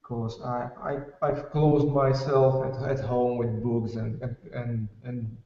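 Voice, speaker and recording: Slow Czech man on a distant call. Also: medium pitch, level tone. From Czech Republic. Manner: halting, many restarts, soft laughs. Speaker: guest, software developer. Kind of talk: casual interview on a video call. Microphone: video call. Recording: distant, roomy, dull web-call audio.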